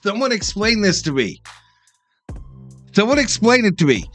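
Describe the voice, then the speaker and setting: High-pitched man, casual fan commentator. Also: big pitch swings, moderate pace, a bit bright, soft background music. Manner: blunt, exasperated fan talk with profanity. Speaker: live-stream host and fan commentator. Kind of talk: casual fan play-by-play reaction.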